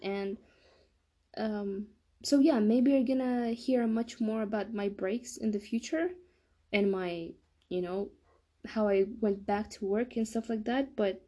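A woman's voice: fairly high pitch, mellow. A young woman talking casually in a solo podcast monologue, hesitant and often stumbling and rephrasing. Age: young adult. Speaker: podcast host.

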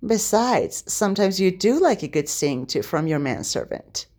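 A woman's voice: fairly low pitch, big pitch swings, normal speed, darkish tone. A woman voicing a cheeky young male manservant in a story, speaking in a familiar, pleading, teasing way.

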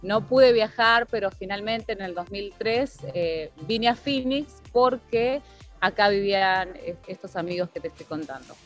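An Argentine woman in her forties tells her personal story as a guest in a casual interview. She goes hesitantly, pausing often to find her words. There is faint music behind her. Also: medium pitch. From Bahía Blanca, Argentina. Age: 40s.

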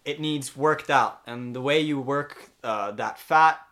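A man playing a hesitant Dutch cold-therapy guide, a guest on a mock health podcast who keeps pausing with ums and uhs. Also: mid-range voice, fairly bright tone. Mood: earnest.

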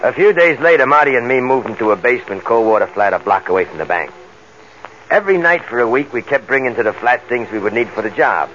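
A man's voice: mid-range voice, a bit bright, close to an everyday voice. Guy acting eager small-time new crook, slangy working stiff, old radio crime show, dull sound w/ some hiss. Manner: colloquial, tough-guy slangy.

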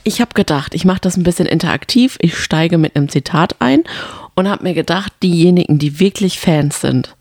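A woman with a slightly low voice chats casually as co-host of a podcast. The recording is dry, with no room sound. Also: brisk, darkish tone, no room sound.

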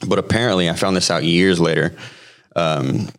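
Deep-voiced man in his thirties, podcast guest sharing his testimony; loose and halting, lots of fillers. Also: fairly bright tone. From Hawaii. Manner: halting, frequent fillers and restarts. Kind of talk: personal testimony, conversational storytelling.

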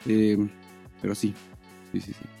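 A man's voice: on the low side, monotone, a little slow, creaky, warm tone, husky, soft background music. A man with a Mexican accent co-hosting a podcast in casual, slangy talk full of swearing. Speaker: podcast co-host. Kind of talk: casual chat.

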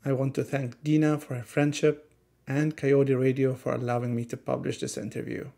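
A man, the podcast host, reading a scripted outro in an even, read-out delivery. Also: mid-range voice, moderate pace, creaky.

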